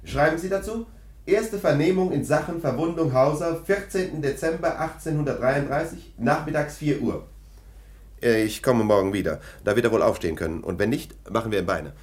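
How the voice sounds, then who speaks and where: A man's voice: medium pitch, a little fast, breathy edge, natural voice. A man in a radio play acting a sceptical, dismissive police lieutenant with a clipped, authoritative manner. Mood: dictating, brisk. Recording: noisy archive radio play recording.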